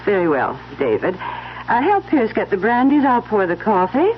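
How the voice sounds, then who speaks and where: A woman's voice: mid-range voice, wildly swooping pitch, moderate pace. An actress playing a genteel older mother who questions people with cool, pointed formality in a radio drama. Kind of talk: 1970s radio drama acting. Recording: dull lo-fi old radio broadcast, faint noise.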